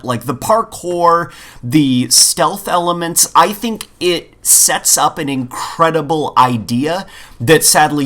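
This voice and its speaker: A man's voice: on the high side, a bit bright. Guy cohosting a gaming podcast, casual and opinionated, kinda repeats himself for emphasis. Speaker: podcast co-host. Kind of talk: casual opinionated podcast chat about games.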